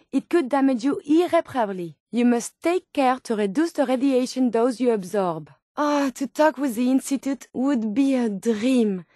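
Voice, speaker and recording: A woman's voice: a little high, dark tone, dry recording, clean studio sound. An actress voicing a polite, curious and tender female robot scientist in a video game, with a French accent and precise, formal phrasing. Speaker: video game companion character. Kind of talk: video game companion dialogue.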